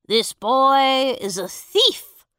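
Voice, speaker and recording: A woman voicing a stern, pompous male judge with a gravelly voice in a storybook. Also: high-pitched, very animated, very slow, fairly bright tone, dry recording, studio quality, voice pushed up, heavily acted. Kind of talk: storybook character dialogue.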